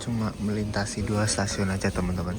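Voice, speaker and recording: A man's voice: on the low side, flat delivery, a little fast, over loud music. A man chatting casually to his viewers as a travel vlogger, talking from train stations and platforms. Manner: chatty, addresses viewers as friends. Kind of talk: casual travel vlog narration.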